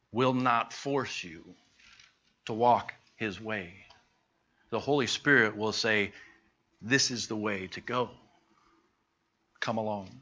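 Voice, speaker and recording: A man teaching a Bible class in an earnest, conversational way, slowing down to stress his points. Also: lowish voice, sharp tone. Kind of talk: sermon-style Bible teaching. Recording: dull lo-fi recording.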